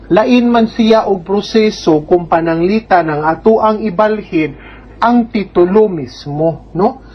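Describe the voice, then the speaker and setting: Through slightly dull, lo-fi audio comes a woman with a Filipino Bisaya accent and a medium-pitched voice. She speaks as an advice host, going through questions and explaining the answers in an informal, conversational way, with tag questions along the way. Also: takes it slowly, a little hiss or hum. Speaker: host giving legal advice to a letter sender.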